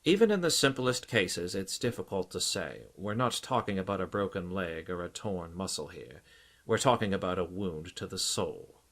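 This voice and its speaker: A man voicing a stout psychiatrist in an audiobook, grave and solicitous, with an earnest, deliberate, clinical manner. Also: low voice, expressive, fairly bright tone, exaggerated voice. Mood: frank, measured. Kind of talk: audiobook character acting.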